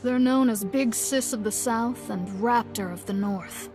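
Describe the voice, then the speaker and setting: A woman acts out a young adventurers' guild receptionist for an anime game. She is poised and courteous, explaining things in a highish, measured voice. Music plays clearly behind her. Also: lightly acted. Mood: courteous, informative.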